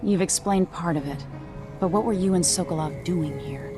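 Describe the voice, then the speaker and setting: A woman's voice: on the low side, normal speed, music in the background, lightly acted. A young woman playing a determined, vengeful empress in a serious, restrained video game performance. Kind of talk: dramatic game acting, brooding narration.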